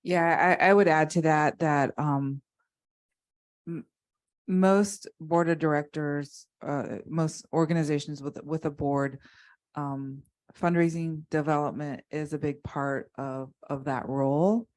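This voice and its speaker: A woman on a conference panel, adding a point in a measured, hesitant way. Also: low voice, steady tone, darkish tone, dry recording. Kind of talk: casual panel talk.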